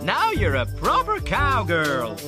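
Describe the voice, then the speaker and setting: A man's voice: high-pitched, wildly swooping pitch, slow pace, music in the background, exaggerated voice. A man voicing a tearful, flustered cowboy in a storybook tale. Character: weepy bumbling adult cowboy.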